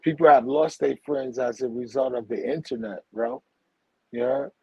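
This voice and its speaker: A man's voice: medium pitch, normal speed, creaky, dark tone, breathy edge, no room sound, lo-fi sound. A man in his forties who grew up in Brooklyn, talking in a rambling, slangy way as a guest. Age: 40s. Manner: rambling, slangy, repeats "you heard?" and "for real". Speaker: guest, teacher and author. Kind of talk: casual spiritual conversation.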